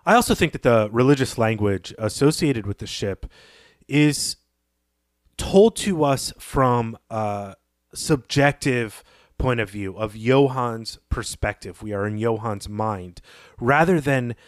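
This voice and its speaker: A man speaks as a podcast co-host in a dry, close recording. His delivery is measured and analytical. He explains literary ideas carefully, at a middle pitch. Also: animated, no room sound. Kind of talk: literary discussion.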